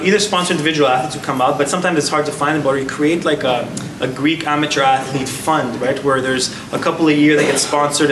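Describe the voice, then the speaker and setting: A man in his thirties, a former athlete, answering onstage interview questions earnestly, with many restarts. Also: a little high. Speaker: guest speaker, former athlete. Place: large gala hall. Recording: echoey hall, heard from far off, noisy.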